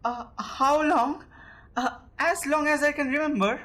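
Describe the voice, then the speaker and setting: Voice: sits high, measured pace, a bit bright. A young student actor with an Indian accent playing a nervous, fussy landowner suitor in an amateur audio drama, stammering and formal. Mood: insistent. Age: young adult.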